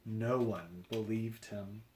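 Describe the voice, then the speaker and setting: A man reading a picture book aloud to young children, explaining deliberately phrase by phrase. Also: fairly low pitch, fairly even intonation, dark tone, echoey room, a little hiss or hum.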